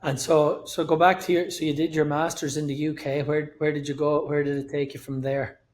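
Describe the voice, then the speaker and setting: A man's voice: fairly high pitch, little pitch movement, a little fast, darkish tone. A man hosting a podcast, asking his guest questions in a friendly, conversational way. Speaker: podcast host. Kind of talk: podcast interview.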